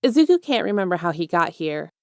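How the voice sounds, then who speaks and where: A woman's voice: on the low side, sing-song, quick pace, no echo, clean studio sound. A woman reading scripted fiction aloud as the narrator of an audio story. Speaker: podfic narrator.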